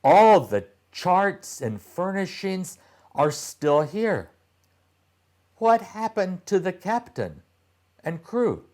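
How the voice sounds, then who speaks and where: Man voicing an eager, higher-pitched young boy. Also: slow, full character voice. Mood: amazed, curious. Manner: excited, questioning.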